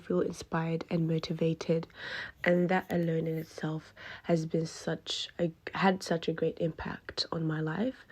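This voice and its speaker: Soft, husky-voiced devout woman from Western Australia. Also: on the low side. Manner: earnest, devout, softly spoken. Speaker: listener sending a voice memo. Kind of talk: heartfelt recorded voice message.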